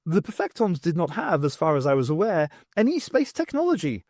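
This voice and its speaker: A man's voice: on the high side, huge pitch swings, a little fast, a little mellow, dry recording, clean studio sound, pitched above the performer's own voice. A man voicing a donnish, tittering male professor in an audiobook. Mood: amazed. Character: giggly male professor marvelling at oddities.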